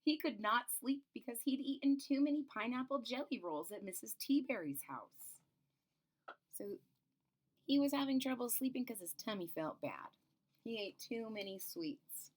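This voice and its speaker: A woman's voice: on the high side, moderate pace. Woman reading a children's storybook aloud. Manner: expressive, explains the pictures in simple words. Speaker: teacher reading a picture book aloud.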